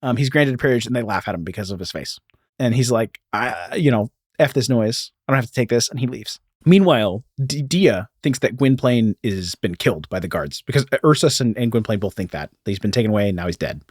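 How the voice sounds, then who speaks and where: A man's voice: mid-range voice, animated, a little fast, husky, no echo, clean studio sound. A man hosting a podcast, telling a story in a casual, informal way peppered with "like" and "you know.". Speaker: podcast host.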